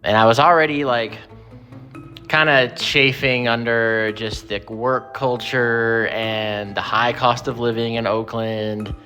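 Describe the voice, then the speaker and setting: A man's voice: mid-range voice, fairly even intonation, slow, bright, forceful tone, soft background music. A man being interviewed, casually recalling his own experience in everyday speech. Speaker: interviewee, former trainee. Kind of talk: casual first-person recollection.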